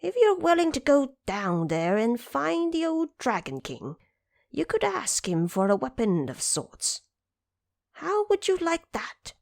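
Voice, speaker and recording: A woman's voice: high voice, wildly swooping pitch, a little slow, creaky, darkish tone, pitched above the performer's own voice, heavily acted. A woman voicing deferential old male monkey advisers, respectful and coaxing, in an audio drama. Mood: suggesting.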